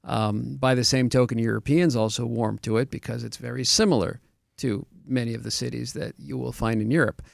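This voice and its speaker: A man from Argentina, a real estate broker, answering questions as a radio guest in a measured, explanatory way with frequent ums and uhs. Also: medium pitch, steady tone, creaky, dry recording, studio quality.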